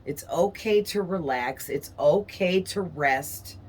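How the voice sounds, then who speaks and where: A woman tarot reader talking casually to her viewers, her voice raspy and hoarse from strain, rambling along with frequent "okay?" tags. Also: on the low side, slow, a little mellow. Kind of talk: casual chatty monologue to viewers.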